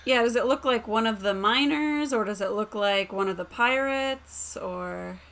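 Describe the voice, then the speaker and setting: A woman's voice: on the high side, measured pace, fairly bright tone. A woman playing a tabletop role-playing game, chatting casually in and out of character. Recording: home recording with clear background noise.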